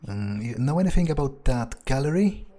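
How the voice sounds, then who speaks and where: A man's voice: medium pitch, expressive, normal speed, a crowd in the background. A man doing in-character game playthrough commentary, thinking aloud hesitantly and swearing bluntly. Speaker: gaming video creator.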